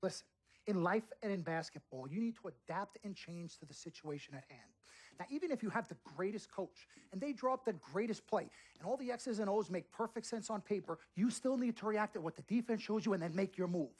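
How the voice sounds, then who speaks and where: Pushy, slick sports agent. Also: male voice, high voice, a little fast, darkish tone, rough recording. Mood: persuasive. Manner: emphatic, salesman-like, repeats phrases for persuasion. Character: pushy, slick male sports agent, smooth-talking hard seller. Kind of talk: video game cutscene acting.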